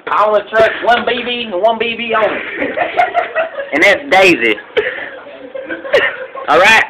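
A man playing a boastful, broke rural hunter who shows off his trophies in a rambling, deadpan way. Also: on the high side, normal speed, noisy background. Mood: bragging. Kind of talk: home comedy sketch.

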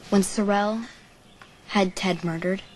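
An actress playing an earnest young woman from a wealthy family, speaking in a soft, often whispery and reassuring way. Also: mid-range voice, slow, lightly acted, rough recording. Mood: earnest tender. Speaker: actor in a daytime soap. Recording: TV soap audio with steady background noise.

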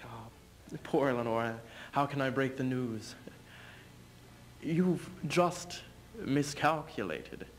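A young man playing an aging, embattled English king in a theatrical stage reading, bitter and mocking, with deliberate, declamatory speech. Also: mid-range voice, close to an everyday voice. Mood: gloating. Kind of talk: theatrical readers theater duo performance. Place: stage in front of curtain. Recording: noisy amateur stage video sound.

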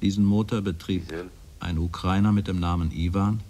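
A deep, somber witness. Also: male voice, fairly even intonation, mellow. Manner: sober, plain, measured. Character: somber male death camp survivor giving sober testimony. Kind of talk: witness testimony in a German documentary radio play.

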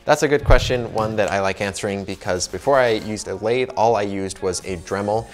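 Lower-pitched young American man, casual. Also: brisk. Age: 20s. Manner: informal, conversational explaining. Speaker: host, ring maker. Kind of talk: casual fan Q&A to camera. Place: indoor workshop with wooden wall.

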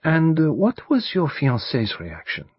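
A man's voice: fairly high pitch, wildly swooping pitch, unhurried, slightly rough, no room sound, voice pushed up, full character voice. A man reading an audiobook, voicing an elderly Belgian male detective with a French-tinged accent, speaking in a formal, precise way. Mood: probing. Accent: French-tinged English. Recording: dull lo-fi recording.

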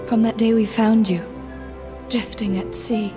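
Subdued young woman, even and slow, acted. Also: a little high, over music, natural voice. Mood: regretful. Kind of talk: dramatic video game voice acting.

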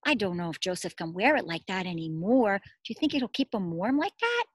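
A middle-aged woman, a preschool teacher, reads a story aloud expressively, pausing to explain simply and ask small children questions. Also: medium pitch, breathy edge, dry recording, studio quality. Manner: expressive, addressing small children with simple words and emphatic repetition. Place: outdoors in a garden.

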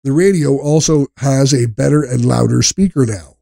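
A man's voice: mid-range voice, normal speed, vocal fry, mellow, no room sound. Dry-sounding man narrating a scripted review.

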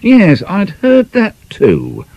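A man voicing a suave, insinuating gentleman in an audiobook, pausing pointedly as he slyly fishes for gossip. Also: high-pitched, huge pitch swings, vocal fry, no room sound, highs cut off, pitched above the performer's own voice, full character voice. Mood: slyly probing. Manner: suave, hesitant, deliberately pointed.

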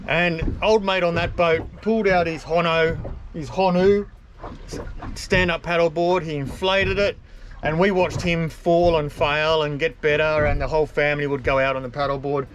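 A man's voice: a little high, steady tone, moderate pace, slight background noise. A man in his forties with an Australian accent, chatting casually and rambling a little as he films himself on a small motorboat outdoors. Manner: chatty, rambling, self-deprecating. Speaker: boating vlogger. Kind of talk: casual vlog narration. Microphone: camera mounted on the boat.

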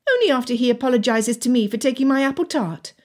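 A woman's voice: sits high, lively intonation, fast talker, voice pushed up. A woman voicing a stubborn, indignant young girl from a wealthy household in an audiobook, speaking formally and emphatically. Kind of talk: audiobook character dialogue.

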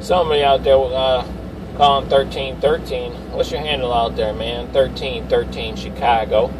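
A man's voice: mid-range voice, fairly even intonation, normal speed, far from the mic. A middle-aged man chatting casually over CB radio in repetitive, slangy patter full of call signs. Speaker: CB radio operator. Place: inside a parked or running Suburban. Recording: loud vehicle noise, off-mic.

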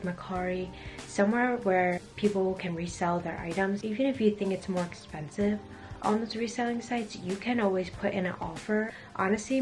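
A woman's voice: mid-range voice, mellow. Young American woman vlogging over music. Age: young adult. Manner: conversational, frequent "like" and "honestly". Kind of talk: casual chat to camera. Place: small indoor rooms at home, bedroom. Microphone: camera at arm's length or on a desk. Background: background music.

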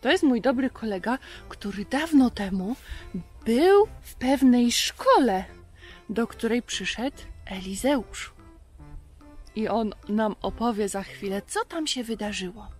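High-voiced woman presenting to children. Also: expressive, takes it slowly, soft background music. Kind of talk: simple, friendly talk to children.

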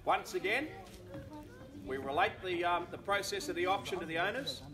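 A man's voice: high-pitched, lively intonation, moderate pace. A middle-aged Australian man calling a live property auction outdoors, projecting his voice and repeating the bids in a chant. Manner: projecting to a gathering, repetitive bid chant. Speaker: real estate auctioneer. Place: backyard of a house, outdoors. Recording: outdoor crowd sound, filmed from several metres. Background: crowd of onlookers.

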